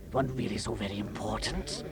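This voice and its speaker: An actor playing a miner who firmly reproaches a comrade. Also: male voice, on the low side, a little fast, a crowd in the background, close to an everyday voice. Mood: reproachful. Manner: firm, reproachful, pleading.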